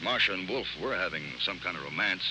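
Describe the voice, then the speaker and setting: An actor playing a detective's puzzled, skeptical associate in a 1940s American radio drama, with a questioning, excitable manner. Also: male voice, deep voice, quick pace. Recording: noisy, hissy 1940s radio recording.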